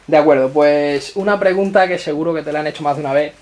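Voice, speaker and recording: A man's voice: fairly high pitch, fast talker, a little mellow. A man conducting an informal interview, putting his questions politely and simply. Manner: polite, prompting with short questions.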